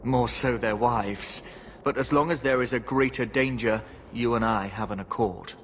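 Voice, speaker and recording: An actor playing a dutiful, devout knight in a fantasy video game, speaking formally and solemnly. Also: male voice, medium pitch, normal speed, fairly bright tone, slight background noise, natural voice. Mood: grudging, wry. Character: stern, dutiful templar knight, devout and suspicious.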